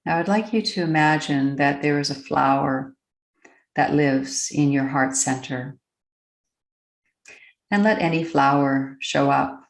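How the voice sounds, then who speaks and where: A woman leading a guided meditation, speaking gently and deliberately, with long pauses between phrases. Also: sits low, creaky, echoey room. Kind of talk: slow, unscripted guided meditation.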